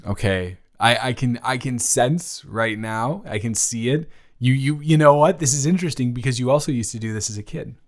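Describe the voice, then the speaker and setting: A young man playing a flustered, tender college student talking intimately to a childhood crush in an improvised audio roleplay, stammering and halting. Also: medium pitch, natural voice. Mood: gentle, reminiscing.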